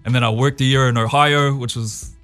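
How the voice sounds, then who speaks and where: A man's voice: medium pitch, fairly even intonation, fairly quick, a bit bright. Nz guy in his 30s on a radio show, easygoing, chuckles a lot, faint music in the back. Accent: New Zealand. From New Zealand. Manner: easygoing, chuckling often, with many fillers and restarts. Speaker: guest. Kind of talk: casual radio interview. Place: radio studio.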